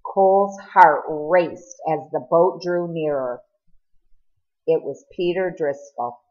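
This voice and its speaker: A woman's voice: low voice, slow, darkish tone, dull audio. A woman reading a storybook aloud as scripted audiobook narration. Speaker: audiobook reader.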